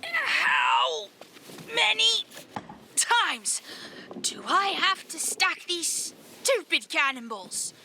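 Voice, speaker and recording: A woman's voice: very high-pitched, big pitch swings, very slow, gravelly, pauses often, pushed and bright, a little hiss or hum, full character voice. A woman voicing a grumbling, petulant young boy in an audio drama about life at sea. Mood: exasperated. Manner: exasperated, petulant.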